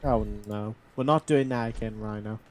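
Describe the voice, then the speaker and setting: A man's voice: lowish voice, a little mellow, slight background noise. A man joining a casual gaming livestream as a guest player, ribbing the others sarcastically. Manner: quick-talking, sarcastic ribbing.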